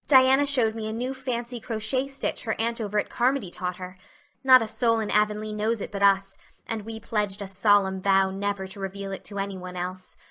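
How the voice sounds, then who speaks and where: Bright-voiced, effusive young girl, voiced by a woman. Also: a little high. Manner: effusive, grandiloquent, earnest. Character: dreamy, excitable, talkative young orphan girl. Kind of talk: dramatic audiobook reading.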